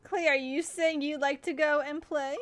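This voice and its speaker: A woman's voice: sits high, fairly even intonation, moderate pace, a little hiss or hum. A woman giving casual live commentary as a game streamer, chatty and gushing in her reactions.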